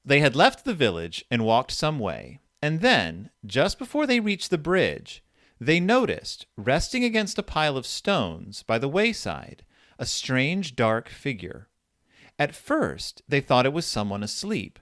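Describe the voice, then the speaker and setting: A male host talks through a story in a casual, conversational way. His pitch moves around a lot as he retells and reads passages, and the sound is dry and close with no room to it. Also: wildly swooping pitch, a little slow, a bit bright, no room sound. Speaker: podcast host discussing horror fiction.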